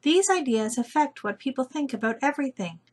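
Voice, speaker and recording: Woman, children's storyteller, reading aloud, slightly high voice, husky, deliberate careful phrasing. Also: expressive, a little mellow. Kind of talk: children's picture book read-aloud.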